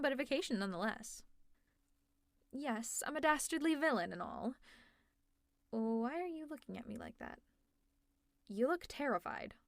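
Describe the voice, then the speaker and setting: A woman's voice: sits high, a bit bright, dry recording, studio quality, heavily acted. A woman playing a teasing, exasperated, secretly caring female supervillain in an ASMR audio roleplay, with a sarcastic, conversational delivery.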